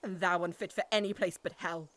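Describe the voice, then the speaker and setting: A woman's voice: fairly low pitch, steady tone, brisk, a bit bright, natural voice. A woman playing a grieving, defiant noble widow in a Shakespearean audio drama, with declamatory, emotional delivery. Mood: bitter scorn.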